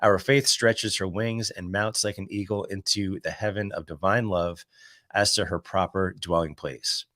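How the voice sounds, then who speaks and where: Low-voiced man with a cold, reading devotions. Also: moderate pace, no room sound. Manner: relaxed and conversational, musing aloud between passages. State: under the weather with a cold. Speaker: host reading a daily devotional. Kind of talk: devotional reading with casual commentary.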